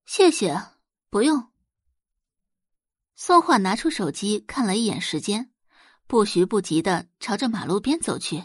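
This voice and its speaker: Expressive, husky female narrator. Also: medium pitch, moderate pace, no echo, clean studio sound. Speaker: audiobook narrator. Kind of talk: dramatic scripted narration.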